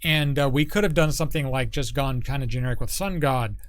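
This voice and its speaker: A man's voice: mid-range voice, brisk, no room sound. A middle-aged man chatting casually as a guest on a livestream, rambling and thinking aloud as he goes. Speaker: guest, tabletop game creator.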